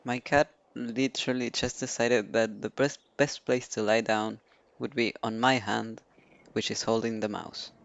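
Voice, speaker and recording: A man's voice: lowish voice, steady tone, measured pace, gravelly. A man streaming a video game, giving casual reaction commentary as he plays. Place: indoors at a computer. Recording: muffled mic over soft game music.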